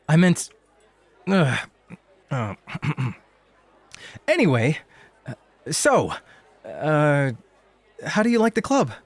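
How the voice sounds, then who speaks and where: An actor playing a nervous, earnest rookie club host who talks casually and gets flustered easily in a scripted audio roleplay. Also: male voice, high-pitched, no room sound, heavily acted. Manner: casual, stammering, self-conscious.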